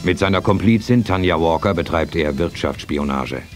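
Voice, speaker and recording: A man's voice: sits low, normal speed, soft background music. A man narrating a scripted German radio play as its storyteller.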